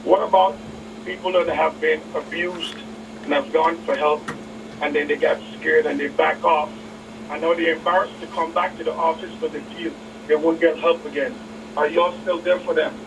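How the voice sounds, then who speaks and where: Guy asking a question, kinda high creaky voice, casual, dull far-off sound. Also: flat delivery, moderate pace. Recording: muffled distant audio, clear background noise.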